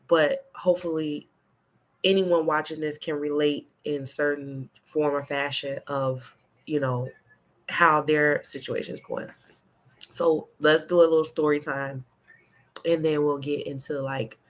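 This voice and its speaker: Congested, nasal woman chatting slowly. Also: low voice. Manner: chatty, rambling, frequent "okay?" check-ins. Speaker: laundry pickup and delivery business owner. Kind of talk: casual story-time vlog.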